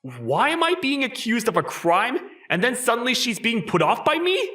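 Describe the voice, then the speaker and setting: A man playing an exasperated, awkward teenage schoolboy, telling his story in an expressive, incredulous manga dub style. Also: very high voice, normal speed, full character voice. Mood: flustered. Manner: expressive, incredulous, self-talking. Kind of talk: manga dub narration and dialogue. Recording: music behind the voice.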